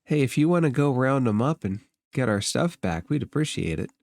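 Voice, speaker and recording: A small space hamster played by a man at a game table talks in a mid-pitched, easygoing voice. It is friendly, plain-spoken and a bit hesitant, with a dry, close sound. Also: big pitch swings, a little fast, vocal fry, a little mellow, no echo. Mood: casual, hopeful.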